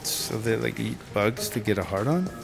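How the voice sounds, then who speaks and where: An actor playing a laid-back slacker screenwriter in telesales, rambling and slangy in a radio drama. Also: male voice, on the low side, sing-song, normal speed, vocal fry. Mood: casual, rambling. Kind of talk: naturalistic radio drama acting. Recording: music under the dialogue.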